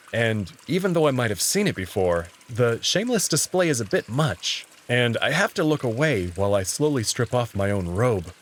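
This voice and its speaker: A man reading scripted, expressive first-person narration as the narrator of a visual novel. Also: medium pitch, slight background noise.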